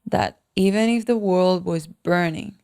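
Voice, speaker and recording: A woman podcast host with a fairly low voice and plenty of vocal fry. She talks in a motivational, deliberate way, leaning on key words and repeating phrases to drive them home. She comes from the Dominican Republic. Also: darkish tone. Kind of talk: motivational solo podcast talk.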